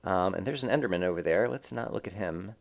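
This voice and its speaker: A man's voice: sits low, fast, gravelly. A man hosting a game let's play, thinking aloud in casual, rambling commentary full of fillers, sounding slightly stuffed up.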